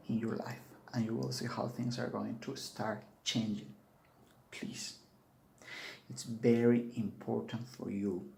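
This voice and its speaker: A man from Peru with a Peruvian Spanish accent, a native Spanish speaker, giving an earnest spiritual talk to his viewers in halting English. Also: medium pitch, vocal fry, a little mellow. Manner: earnest and urging, with halting non-native phrasing. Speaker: spiritual teacher. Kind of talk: earnest spoken address to camera.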